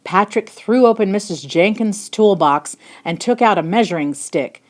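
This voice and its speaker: A woman narrating a children's picture book in an expressive storytelling style. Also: mid-range voice, moderate pace. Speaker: storybook reader.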